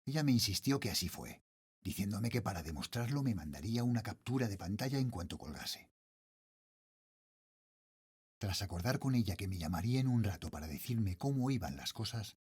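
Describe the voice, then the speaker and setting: Casting: anxious father working night security, Spanish accent from Spain, low register, highly expressive intonation, horror audio fiction. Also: breathy edge, full character voice. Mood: worried, puzzled. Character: worried father, a night security guard narrating a horror tale. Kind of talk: scripted first-person horror audio drama narration.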